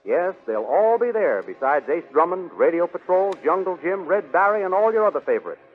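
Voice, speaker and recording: A tinny 1930s radio recording of a male announcer narrating an adventure serial. His voice is high for a man and declaims dramatically, with some music in the recording. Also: very animated, a little fast.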